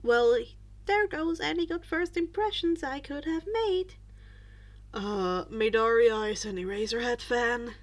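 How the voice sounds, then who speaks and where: A woman's voice: on the high side, a little slow, close to an everyday voice. A woman voicing a sullen, exasperated teenage schoolboy, dry and reluctant, in a fan fiction audiobook.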